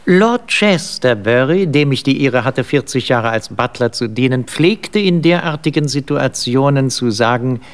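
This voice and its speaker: An actor playing an elderly English butler in a German radio play, formal and stiff, with measured, proper diction. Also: male voice, medium pitch, animated, smooth. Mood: dignified, admonishing. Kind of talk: German radio drama acting.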